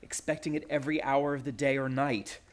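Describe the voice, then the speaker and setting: A man's voice: mid-range voice, fairly even intonation, a little fast, natural voice. An actor playing a proud, nerve-racked young man in a suspense radio play, earnest and tense. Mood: haunted, bitter.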